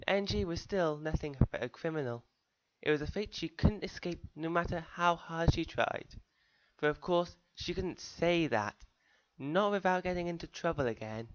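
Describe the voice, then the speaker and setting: A man tells a dramatic story in the first person. His voice sits a little high for a man, and he delivers it earnestly, as if confiding in an audience. The recording is somewhat dull and lo-fi. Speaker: fan fiction reader.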